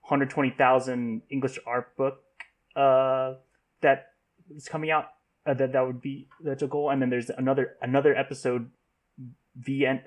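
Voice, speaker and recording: A man hosting a casual podcast discussion, speaking haltingly with repeated false starts and stammers. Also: medium pitch. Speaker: podcast host.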